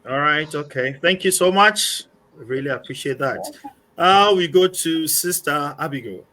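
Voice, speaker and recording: A man's voice: a little high, expressive, a little slow, bright, forceful tone. A man hosting an online panel discussion, introducing guests in a loose, upbeat way with many fillers. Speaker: panel moderator.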